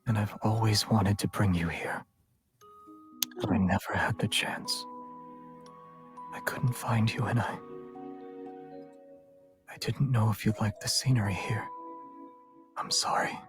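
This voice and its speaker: A man playing a gentle, wistful young romantic lead in a game, speaking softly and haltingly, at times breathily. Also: on the low side, fairly even intonation, lightly acted. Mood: tender, regretful. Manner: slow, tender, halting. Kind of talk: scripted game voice acting. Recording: loud background music. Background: music.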